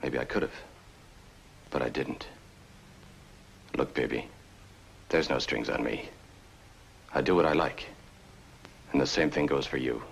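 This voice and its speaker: A moody, guarded young man from a 1950s crime film. His voice is very deep with a gravelly creak. He speaks in cool, clipped lines over a noisy old soundtrack. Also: measured pace, pauses often, exaggerated voice. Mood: defiant. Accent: American. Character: aloof, moody young drifter with a guarded heart. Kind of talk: 1950s film noir acting, laconic.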